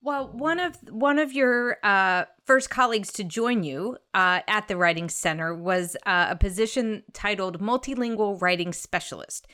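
Clear-voiced woman hosting an interview. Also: medium pitch, normal speed, hardly any pauses, sharp tone. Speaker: interviewer, host. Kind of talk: conversational interview questions.

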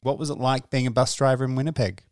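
A man hosting a radio interview, asking questions in a measured, curious way. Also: medium pitch, steady tone, darkish tone, no room sound, clean studio sound.

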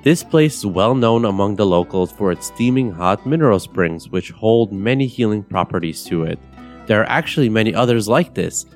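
A young man from Bulgaria hosting a podcast, guiding a meditation in a calm, measured, soothing way. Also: lowish voice. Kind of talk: guided meditation narration. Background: soft guitar music.

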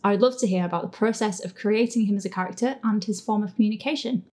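A woman's voice: medium pitch, quick pace, a little mellow. A woman hosting a casual, chatty question and answer session about her audio fiction, in a giggly, self-deprecating way. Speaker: host and audio fiction creator. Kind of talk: casual Q&A chat.

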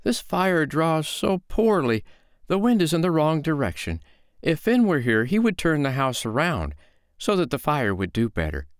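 A man voicing a calm, sly foster mother in a storybook, with a lilting, mock-innocent, needling way of talking. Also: fairly high pitch, normal speed, smooth, no room sound, studio quality, voice pushed up. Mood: sighing, needling.

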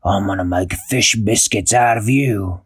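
A man's voice: fairly low pitch, a little slow, breathy edge, no room sound, clean studio sound. A man voicing a huge, ugly, smelly male troll who growls out gloating threats in a bedtime story. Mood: menacing.

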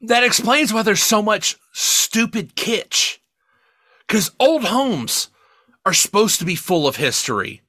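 Excitable high-pitched man, swooping delivery. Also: slow pace, dry recording. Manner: excitable, emphatic, rambling. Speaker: podcast co-host. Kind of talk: casual, profane film review chat.

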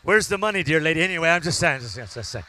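A man, preaching a sermon and building to loud, fervent call-outs to the congregation. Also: a little high, expressive, quick pace, a little hiss or hum. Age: 50s. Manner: preaching with rising shouts and call-outs to the crowd. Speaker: pastor.

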